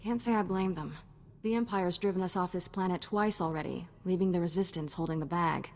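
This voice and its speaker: A woman's voice: lowish voice, fairly quick, slight background noise, natural voice. An actress voicing a blunt, skeptical female companion in a video game, with a dry delivery. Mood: cynical.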